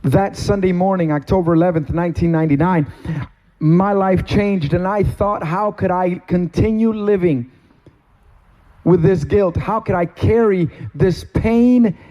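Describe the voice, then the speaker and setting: A middle-aged man from Cuba, a pastor giving a sermon testimony from a stage, with slow, deliberate, emphatic phrasing. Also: sits high, mellow. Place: stage in a meeting hall.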